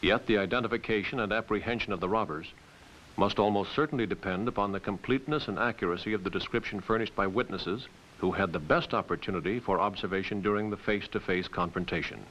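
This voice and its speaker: A man reading scripted documentary narration in a measured, authoritative way. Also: fairly low pitch, a bit bright. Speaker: training film narrator. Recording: muffled hissy old film soundtrack.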